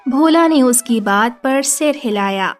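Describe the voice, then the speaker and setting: A woman's voice: high voice, expressive, unhurried, soft background music. A woman narrating a scripted children's story.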